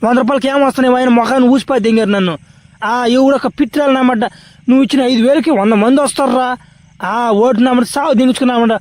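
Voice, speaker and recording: Squeaky, fast cartoon warrior. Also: male voice, a little mellow. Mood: grumbling. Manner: blustering, repetitive slangy cursing. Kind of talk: comic cartoon parody voice-over.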